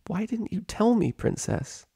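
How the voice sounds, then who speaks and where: A man's voice: sits high, sing-song, measured pace, gravelly, mellow, slightly rough, no echo, clean studio sound, voice pushed up, heavily acted. A man voicing an earnest, anxious young female pony princess in a story reading. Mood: hurt.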